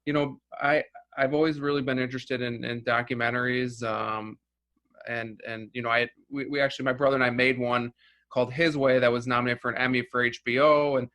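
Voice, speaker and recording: A filmmaker whose first language was Russian, talking casually as an interview guest and rambling through many restarts and "you know"s. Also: male voice, mid-range voice, level tone, a little fast, a bit bright.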